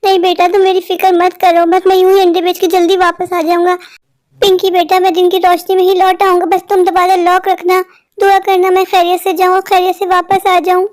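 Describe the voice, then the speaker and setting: A woman's voice: very high-pitched, monotone, fairly quick, a little mellow. A woman voicing an anxious, plaintive poor father who sells eggs in a cartoon story. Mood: worried, resolute. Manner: plaintive, imploring. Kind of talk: Hindi cartoon story acting.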